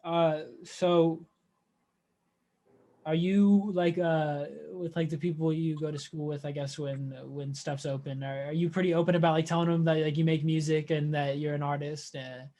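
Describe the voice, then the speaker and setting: Young dude, voice a bit high, casual slangy interviewer, kinda halting. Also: male voice, little pitch movement, mellow. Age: young adult. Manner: informal, hesitant, slangy. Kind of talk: casual chat.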